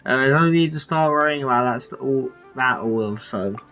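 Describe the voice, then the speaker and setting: A man's voice: medium pitch, a little fast, sharp tone, faint music behind, rough recording. Rambling man reacting to a game. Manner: rambling, musing. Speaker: gaming streamer. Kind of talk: casual reaction commentary.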